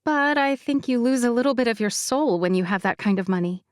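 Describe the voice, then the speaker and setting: A woman's voice: on the high side, lively intonation, a little fast, smooth, dry recording, pitched above the performer's own voice, lightly acted. A woman voicing a dry, self-mocking young woman with a scarred face. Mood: wry.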